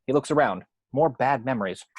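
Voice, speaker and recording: A man with a husky voice reading scripted screenplay action descriptions as narrator. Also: mid-range voice, lively intonation, a little fast, no echo.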